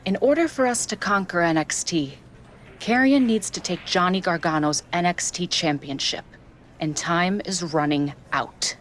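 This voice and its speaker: Woman playing a low, sultry scheming villainess. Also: slight background noise, natural voice. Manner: slow, teasing, menacing delivery with drawn-out phrases. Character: sultry, scheming female wrestling villain recruiting an ally. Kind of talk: scripted video game cutscene acting.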